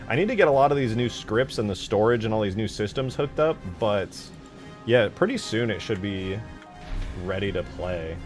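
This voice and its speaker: A man giving casual livestream commentary as a game modder, thinking aloud in an informal way. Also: on the low side. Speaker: game modder streaming his work. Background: music.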